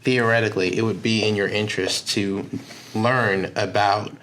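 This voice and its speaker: A man's voice: lowish voice, steady tone, moderate pace, fairly bright tone, a little hiss or hum, poor audio. A man hosting a podcast interview, talking in a chatty, enthusiastic way. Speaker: podcast host. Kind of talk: casual interview conversation.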